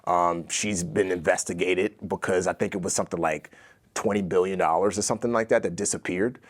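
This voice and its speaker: An American man in his thirties, a podcast guest telling stories in conversation, animated and laughing between points. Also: sits low, brisk. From United States. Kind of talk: storytelling in conversation.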